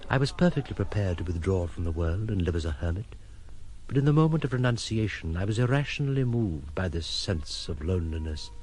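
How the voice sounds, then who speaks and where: An actor playing a solitary, disillusioned island exile, speaking in introspective, rueful monologue in an audio drama. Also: male voice, on the low side, darkish tone.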